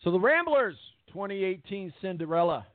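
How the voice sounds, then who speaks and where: A man hosting a sports radio show in casual chat, rambling with fillers and repeated words, with a voice that is going hoarse. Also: high voice, big pitch swings, moderate pace, no room sound. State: losing his voice. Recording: tinny phone-line quality, dry.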